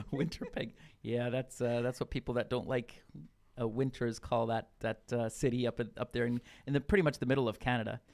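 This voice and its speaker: A man's voice: medium pitch, brisk, creaky, a little mellow. Chatty man co-hosting a radio talk show. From Brazil. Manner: loose and chatty, with frequent fillers and restarts. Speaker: radio podcast co-host. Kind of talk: casual chat.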